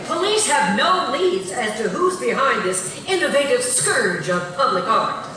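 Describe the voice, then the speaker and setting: A woman's voice: high voice, big pitch swings, unhurried, sharp tone, full character voice. An actress voicing a polished, chirpy female TV news anchor at a live cartoon table read. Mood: brightly formal. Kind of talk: animated comedy table read performed live. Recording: echoey hall, distant mic, faint noise.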